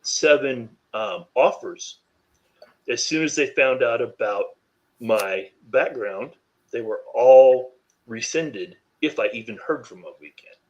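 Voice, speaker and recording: Deep-voiced man, reflective and candid. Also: slow pace, lo-fi sound. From North Carolina. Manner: reflective, many "you know" fillers. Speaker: podcast guest. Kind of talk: candid interview conversation.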